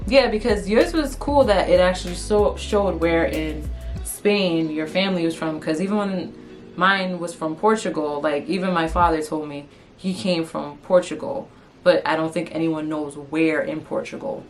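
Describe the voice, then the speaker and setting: A woman's voice: on the low side, moderate pace. A woman from Antigua and Montserrat co-hosting a casual conversation, earnest and repeating her point. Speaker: co-host. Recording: faint music underneath.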